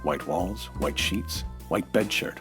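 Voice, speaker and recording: An actor playing a hard-boiled, wry American nightclub owner turned sleuth, in the terse style of a 1940s radio detective drama. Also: male voice, sits low, takes it slowly, music in the background. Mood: grim narration. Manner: terse, noir-style storytelling.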